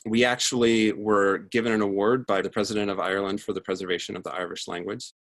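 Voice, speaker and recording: Guy doing a presentation, kinda low voice, explaining stuff like a tech research talk. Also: level tone, fast, a bit bright. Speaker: conference speaker, tech research lead. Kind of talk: prepared talk to an audience.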